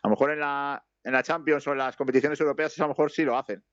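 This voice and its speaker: Man, Spanish accent, football commentary, rambling chat, rises on attacks, mid-pitched, dry dull sound. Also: rapid-fire, dry recording. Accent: Spanish from Spain. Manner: rambling, lifts to excited calls on attacks. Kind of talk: casual live match commentary.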